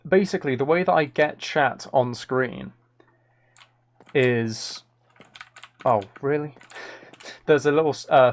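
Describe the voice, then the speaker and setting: A man chatting casually to viewers as a live game streamer, with a dry, joking, mock-serious manner. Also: mid-range voice. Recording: clear noise, keyboard and mouse clicks.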